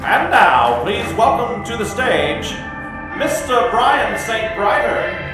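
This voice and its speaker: Male stage announcer for a vintage-style radio show: high, animated, showy delivery over music. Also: slow. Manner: showy, theatrical. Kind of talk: old-time radio show announcing to a live audience. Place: live comedy theater stage. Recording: echoey theater with music underneath.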